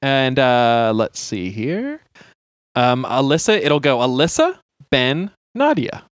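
A young man hosting a comedy podcast, announcing segments in a playful, half-deadpan way. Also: mid-range voice, slow pace, dry recording. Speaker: podcast host.

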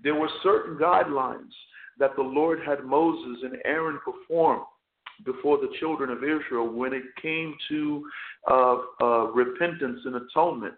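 A man serving as chaplain and radio host, preaching slowly and deliberately with many fillers, hoarse and losing his voice. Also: mid-range voice. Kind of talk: radio sermon with scripture reading. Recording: tinny phone line, echoey.

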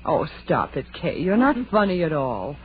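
Sensible, old-fashioned young woman, lowish female voice, earnest, 1930s radio acting, tinny hissy recording. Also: very animated, normal speed. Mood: reproving. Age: young adult. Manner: earnest, reproving, matter-of-fact.

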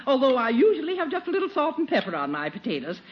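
A woman's voice: sits high, huge pitch swings, quick pace. On a muffled 1940s radio comedy recording, a middle-aged aunt. Her intonation rises and falls a great deal. She is polite and precise, yet prim and disapproving. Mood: bossy.

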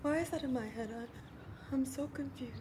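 An actress playing a heartbroken young wife who speaks in broken, wandering phrases and half-sings a verse. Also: female voice, high-pitched, moderate pace, noisy background, lightly acted. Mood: dazed grief. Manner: broken, wandering speech, half-sung recitation.

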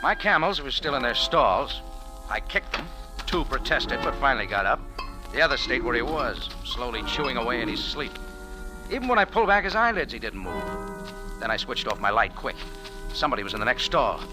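A man plays a hard-boiled 1940s radio sleuth who runs a bar and talks in sardonic slang. His medium-pitched voice swings with lively inflection, over music on a vintage broadcast recording. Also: fairly quick, lightly acted, rough recording. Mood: tense, watchful. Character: tough, wry cafe owner turned amateur sleuth in Cairo. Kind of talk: 1940s radio detective drama acting and narration.

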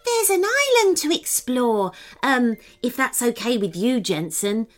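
A woman voicing Wolfie, a kind, eager stuffed toy wolf come to life in a children's storybook, speaking gently and warmly. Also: a little high, sing-song, a little slow. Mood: friendly. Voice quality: gentle and friendly.

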